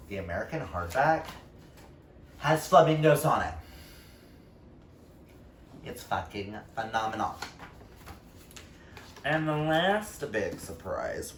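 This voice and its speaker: Slow-talking, gushing low-voiced man. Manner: gushing, emphatic, dramatic pauses. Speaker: book reviewer vlogger, reading challenge co-host. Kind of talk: casual book vlog chat. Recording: roomy, distant mic, faint noise.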